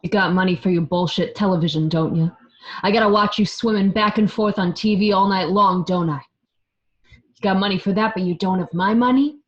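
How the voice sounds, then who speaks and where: A woman's voice: mid-range voice, fairly even intonation, fairly quick, close to an everyday voice. A woman acts a menacing gangster loan shark for a radio play. The delivery is biting, accusatory and sarcastic, in a mid-range voice. It has a dull home-recorded sound. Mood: angry menace. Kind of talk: amateur radio drama acting.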